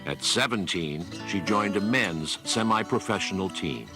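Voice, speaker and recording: A man's voice: low-pitched, expressive, unhurried. A man narrating scripted sports history as a documentary narrator. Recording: guitar music under the voice.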